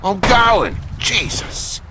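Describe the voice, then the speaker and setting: A man's voice: high-pitched, big pitch swings, very slow, background noise, full character voice. An actor playing a blunt, aggrieved male grumbler in a noir video game, complaining and swearing. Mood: irritated shouting.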